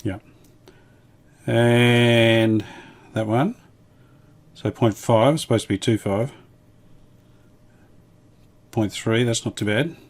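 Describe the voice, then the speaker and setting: A man casually talking through a hands-on repair demonstration, unhurried and matter-of-fact, thinking aloud as he goes. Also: medium pitch, fairly even intonation. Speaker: hobbyist radio restorer presenting his video.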